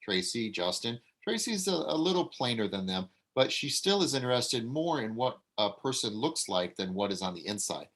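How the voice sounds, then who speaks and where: A man reading stage directions aloud at a table read. He talks at an easy middle pitch and stops now and then to give notes. His delivery is relaxed and unpolished. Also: moderate pace, hardly any pauses. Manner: relaxed, casual, with fillers and self-corrections.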